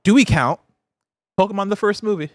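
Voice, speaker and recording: A man hosting a casual panel discussion, talking in an animated, opinionated way. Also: sits high, brisk, no echo, studio quality. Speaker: podcast host.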